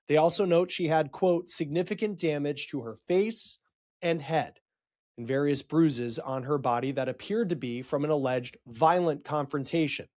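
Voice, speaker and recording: A man hosting a true-crime report, explaining a case in a storytelling news style with dramatic, emphatic pauses. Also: fairly high pitch, a little mellow. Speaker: true-crime show host.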